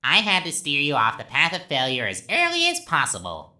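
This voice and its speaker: A woman's voice: deep voice, huge pitch swings, brisk, sharp tone, heavily acted. A woman voicing a sadistic, childishly gleeful talking flower villain in a video game, taunting theatrically and swinging from sweet to menacing. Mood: sinister recounting.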